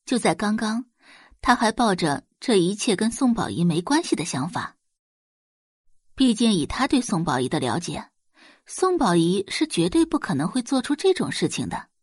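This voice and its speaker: A woman reading scripted dramatic fiction as an audiobook narrator, in an expressive storytelling manner. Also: medium pitch, moderate pace, slightly rough, no echo, clean studio sound.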